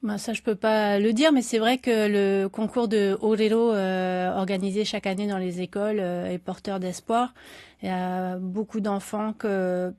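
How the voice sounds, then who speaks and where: A woman speaks in an unscripted morning interview, pausing often and restarting her sentences as she searches for words. Her voice sits at a middle pitch. The sound is dry and close, with no room around it. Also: steady tone, darkish tone, no echo. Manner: hesitant, many fillers and restarts. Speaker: journalist and documentary director, guest.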